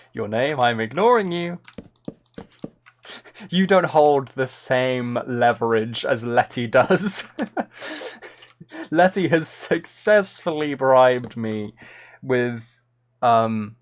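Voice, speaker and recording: A man's voice: mid-range voice, lively intonation, slow, long pauses. A young man chatting casually while he streams a game, rambling and self-deprecating into a close mic. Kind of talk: casual live-stream chat while gaming.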